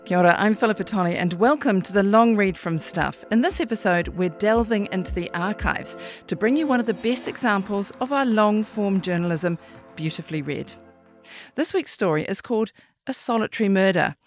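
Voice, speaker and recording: A woman's voice: medium pitch, a little fast, faint music behind. New Zealand woman hosting a podcast. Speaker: podcast host. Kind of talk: scripted intro and interview questions.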